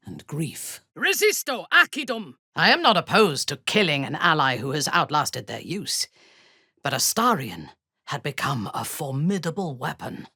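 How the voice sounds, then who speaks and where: An actress playing a ruthless, haughty dark elf warrior in a video game, cold, commanding and menacing in her measured delivery. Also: low-pitched, husky, no echo, studio quality, exaggerated voice. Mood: musing, then fierce spellcasting. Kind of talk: video game character voice acting.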